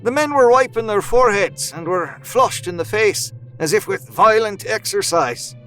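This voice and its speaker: An actor playing an earnest Victorian doctor, narrating a formal letter in an audio drama with careful storytelling. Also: male voice, high voice, expressive, moderate pace, fairly bright tone, faint music behind, poor audio. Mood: urgent.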